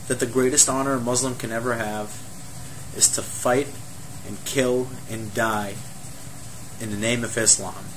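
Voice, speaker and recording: A young man from Egypt giving a personal testimony in an earnest, measured way, with many rhetorical questions. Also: mid-range voice, a bit bright, slight background noise, poor audio.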